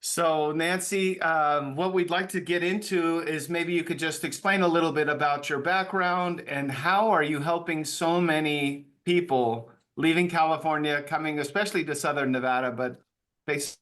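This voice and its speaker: A man's voice: on the high side, steady tone, normal speed, fairly bright tone. A man hosting an interview, asking long rambling questions with fillers and casual phrasing. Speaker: show host.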